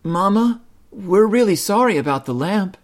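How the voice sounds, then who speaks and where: A man voicing an eager young boy bear cub in a storybook, high and excited. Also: normal speed. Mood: sorry.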